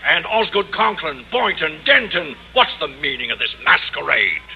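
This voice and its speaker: A noisy, muffled vintage radio recording carries a stern man in charge. His fairly high voice is authoritative, in old comedy style. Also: big pitch swings, normal speed, gravelly. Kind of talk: 1950s radio comedy acting.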